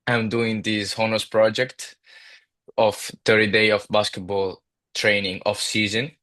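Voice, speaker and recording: A man's voice: fairly low pitch, level tone, a little slow, pushed and bright, dry recording. A young man from Italy with an Italian accent, whose first language is Italian, asking prepared interview questions carefully as a student. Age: young adult. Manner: reads questions carefully, with brief yeahs.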